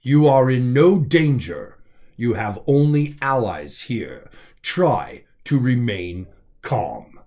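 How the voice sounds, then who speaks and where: Man voicing a calm, commanding comms officer. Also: medium pitch, dull audio. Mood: firm, commanding. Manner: measured, authoritative, reassuring. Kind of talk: dramatic audiobook character voice.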